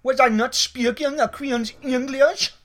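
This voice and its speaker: A man's voice: extremely high pitch, fairly even intonation, a little slow, a bit bright. A man playing a surly, short-tempered waiter in an adult comedy sketch, sarcastic and insolent. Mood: sarcastic, impatient. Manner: rude, sarcastic.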